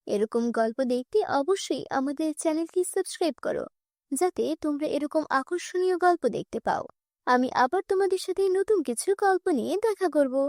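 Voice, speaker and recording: A woman's voice: high-pitched, a little mellow, no room sound, clean studio sound. A woman narrating a scripted children's fairy tale.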